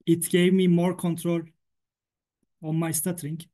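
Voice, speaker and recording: A man's voice: a little high, level tone, a little slow, mellow. A man from Turkey with a Turkish accent, a native Turkish speaker, giving a prepared talk in English for a stuttering association while he stutters, repeating words and sounds. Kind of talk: prepared presentation.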